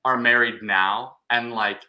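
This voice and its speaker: Hesitant young man, very bright voice. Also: medium pitch, steady tone, a little slow, low-quality recording. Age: young adult. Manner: rambling, hesitant, lots of "like" and restarts. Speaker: grandson, guest. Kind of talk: casual chat.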